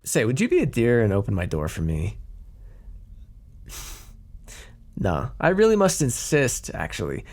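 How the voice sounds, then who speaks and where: Man playing a husky, flirtatious mafia boss, swooping pitch. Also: wildly swooping pitch, a little slow, long pauses, darkish tone, exaggerated voice. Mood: flirtatious, teasing. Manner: teasing, theatrical, drawling flirtation. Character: flirtatious, cocky, teasing male mafia boss on undercover date. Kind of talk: ASMR roleplay voice acting.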